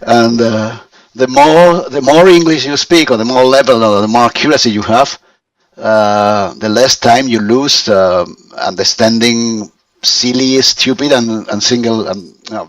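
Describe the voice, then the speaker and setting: A Spanish-accented man in his fifties works through his English sentences slowly, restarting often and filling gaps with "uh". He sits back from the microphone on a call, so his voice sounds distant. The sound is dry, with little room to it. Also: mid-range voice, no room sound. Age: 50s. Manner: hesitant, many restarts and fillers. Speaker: language student. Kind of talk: conversation practice over a call.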